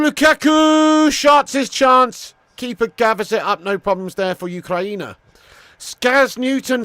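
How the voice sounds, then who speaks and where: A man's voice: high voice, a bit bright, no echo. A young English man hosting a live football watch-along, chatting casually, swearing, and greeting viewers by name from a room at home. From England. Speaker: host.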